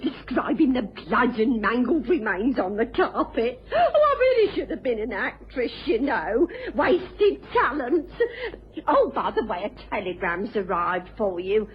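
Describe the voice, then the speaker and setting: High, lively housekeeper. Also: female voice, measured pace. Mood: gleefully dramatic. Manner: fussy, theatrical, homely speech. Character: motherly, melodramatic, chatty housekeeper. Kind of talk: old-time radio drama acting. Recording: dull, faintly noisy radio recording.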